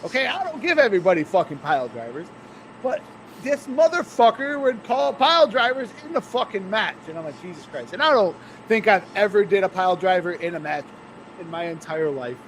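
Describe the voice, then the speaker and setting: A man's voice: high voice, sing-song, brisk, a bit bright, slight background noise, poor audio. A man, a wrestler co-hosting a podcast, telling profane stories and laughing often. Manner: laughing, swearing storyteller. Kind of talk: casual profane chat.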